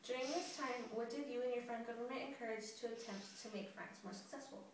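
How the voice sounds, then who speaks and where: A woman's voice: a little high, quick pace. A woman interviewer hosting a scripted mock news interview. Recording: very echoey, noisy, distant mic.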